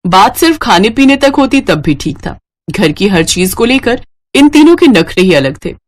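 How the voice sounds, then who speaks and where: A woman's voice: medium pitch, very animated, moderate pace. A woman reading scripted narration for a moral family story.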